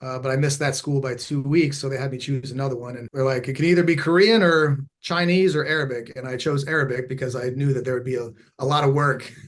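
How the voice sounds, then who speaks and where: A man in his forties from Texas, a podcast guest telling his story in a reflective, halting way, with many fillers and restarts. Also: mid-range voice, fairly even intonation. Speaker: podcast guest, former special operations veteran.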